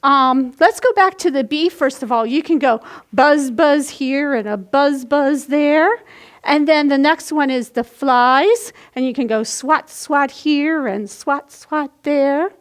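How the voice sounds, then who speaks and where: Slow, high-voiced woman teaching young children. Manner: slow, explanatory, demonstrating actions as she speaks. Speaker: children's library storytime host. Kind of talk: simple step-by-step instruction for young children.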